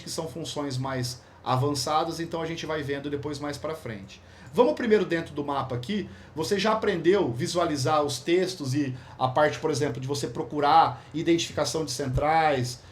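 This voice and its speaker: A Brazilian man with a high voice leads a casual spoken lesson, full of slang and restarts as he explains. A faint hiss of noise sits under his voice. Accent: Brazilian Portuguese. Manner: informal, slangy, rambling with restarts. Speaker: course instructor.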